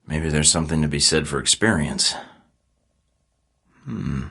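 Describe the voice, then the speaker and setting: A deep, dry-witted secret agent. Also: male voice, unhurried, gravelly, sharp tone, slightly rough, exaggerated voice. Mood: wry. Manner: dry, sarcastic quips. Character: wry male spy agent, adult. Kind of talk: video game voice lines. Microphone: studio mic.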